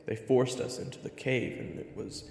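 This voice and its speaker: Gravelly, hot-headed swordsman narrator, a man acting. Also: mid-range voice, level tone, moderate pace, darkish tone, slight background noise, close to an everyday voice. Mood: grim narration. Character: Xander, hot-headed, loyal male hook-handed swordsman narrator. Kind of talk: first-person fantasy story narration. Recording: echoey, reverberant, music underneath.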